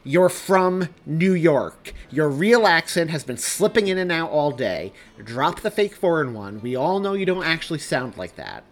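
A man's voice: medium pitch, very animated, moderate pace, a bit bright, husky, heavily acted. A man voicing an exasperated, defensive young man with a sarcastic edge in dramatized audiobook dialogue. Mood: spiteful, annoyed.

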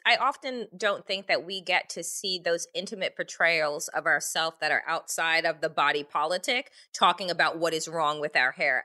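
A woman hosting a conversational interview, animated and talking in quick restarts and asides. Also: low voice. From Jamaican family. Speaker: interview host.

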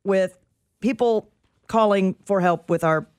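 A woman's voice: medium pitch, takes it slowly, darkish tone, clear, resonant tone, no room sound. A woman co-hosting radio, giving comic mock-official scanner reports with sassy banter. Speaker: radio co-host. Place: radio studio.

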